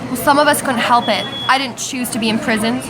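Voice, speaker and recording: A woman's voice: a little high, a little fast, background noise, natural voice. A woman playing a self-justifying jailed mother in an amateur radio play. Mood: indignant.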